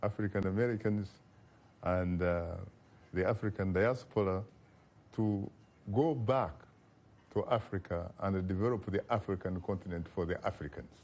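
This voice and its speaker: A man hosting a broadcast interview, asking measured questions with frequent pauses and fillers. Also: low-pitched, darkish tone. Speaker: talk show host.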